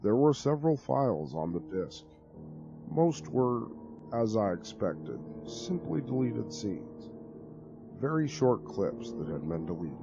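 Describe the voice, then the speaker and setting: A man giving a dramatic reading of a horror story, telling it in an expressive, conversational way. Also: fairly low pitch, takes it slowly, creaky, highs cut off, music in the background. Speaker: narrator.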